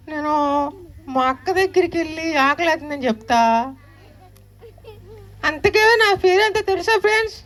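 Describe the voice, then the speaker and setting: Very squeaky, whiny child puppet. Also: bright, forceful tone, full character voice. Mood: whining, hungry. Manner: pleading, repetitive, calls out to the children. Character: hungry, chatty, whining child puppet named Cherry. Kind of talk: live children's puppet show banter.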